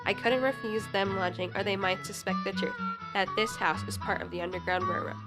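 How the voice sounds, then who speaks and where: A woman's voice: medium pitch, fairly quick, over loud music, close to an everyday voice. A female student reader playing a cautious helper of runaway slaves in a school radio drama. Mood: urgent, cautious.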